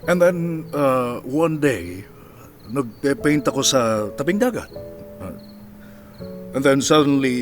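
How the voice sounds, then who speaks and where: A man's voice: medium pitch, natural voice. Lalaking gumaganap na magiliw na amang chairman. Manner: reminiscing, English mixed in. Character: genial, nostalgic older father, company chairman. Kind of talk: radio drama acting, storytelling.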